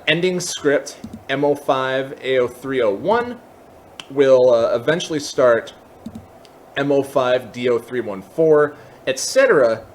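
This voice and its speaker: A man hosting a casual software walkthrough, explaining in an informal, lightly self-deprecating way. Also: medium pitch, a little hiss or hum. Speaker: tutorial host.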